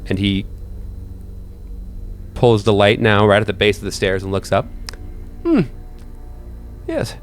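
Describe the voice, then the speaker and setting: A low-voiced man runs a tabletop game as its game master. He tells the scene in halting, measured pieces, improvising as he goes, with music beneath him. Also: little pitch movement. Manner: halting, hesitant, measured storytelling.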